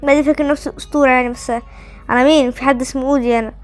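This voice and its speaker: Very high, fast-talking Egyptian woman gamer. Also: faint music behind. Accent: Egyptian Arabic. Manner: excitable, teasing, repeats words in chants. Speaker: gaming video host. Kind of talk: casual playful gameplay chat.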